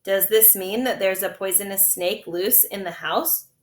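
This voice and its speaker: Storybook gentleman, fussy and reasonable, politely firm a woman reading the part, echoey room. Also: mid-range voice, a little slow, natural voice. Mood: puzzled. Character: fussy, reasonable male family guardian, mildly stern.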